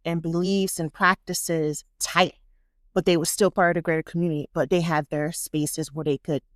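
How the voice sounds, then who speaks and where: A woman from Miami with a South Florida accent, a podcast guest talking in an animated, reflective way and laughing often. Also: low-pitched, normal speed, no echo, studio quality. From Miami, Florida, United States. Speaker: podcast guest, social worker. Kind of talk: casual conversation.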